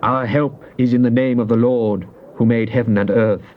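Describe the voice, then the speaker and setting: An actor playing a devout psalmist in a solemn, measured, theatrical Bible reading. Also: male voice, medium pitch, steady tone, lightly acted. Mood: solemn, grateful. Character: devout psalmist pleading and praising God. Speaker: scripture reader. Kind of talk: dramatized King James Bible reading. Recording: dull, noisy old cassette tape.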